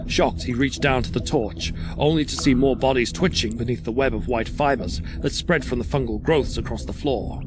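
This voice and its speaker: A man's voice: mid-range voice, rough recording. A man giving scripted narration for a horror audio drama. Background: music.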